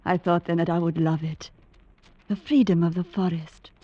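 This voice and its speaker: A woman's voice: fairly low pitch, steady tone, normal speed, warm tone, dry recording, close to an everyday voice. A woman playing a dreamy, wistful wife in old-time radio drama. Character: dreamy gentlewoman wife drawn to the wild forest. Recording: dull vintage radio recording.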